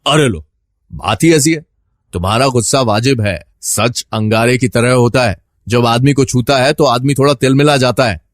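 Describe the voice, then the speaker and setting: A man's voice: medium pitch, moderate pace, no echo, studio quality, lightly acted. A man playing a gossipy, insinuating office neighbour who speaks in a hesitant, confiding and sententious manner.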